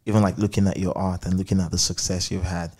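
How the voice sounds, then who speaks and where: Guy interviewer, low voice, thoughtful, circles round his questions casually. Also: flat delivery, quick pace, darkish tone, husky. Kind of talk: conversational interview.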